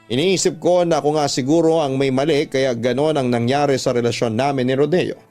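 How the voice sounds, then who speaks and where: A man's voice: on the high side, a little mellow. Male radio drama narrator over background music. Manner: earnest, sentimental storytelling. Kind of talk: dramatic first-person letter reading. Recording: music under the voice.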